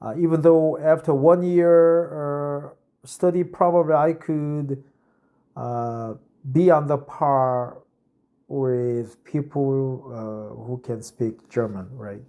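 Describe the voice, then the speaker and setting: A man's voice: on the high side, fairly even intonation, slow, dark tone. A man in his fifties from South Korea, a non-native English speaker with a Korean accent, reading an article aloud and explaining it with frequent "uh" fillers and "right?" tags. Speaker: English-practice reader and explainer.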